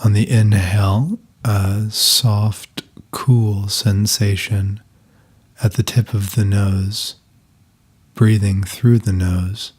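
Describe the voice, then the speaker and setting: A man leading a guided meditation in a soft, calm, breathy voice, giving gentle instructions with long pauses. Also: on the low side.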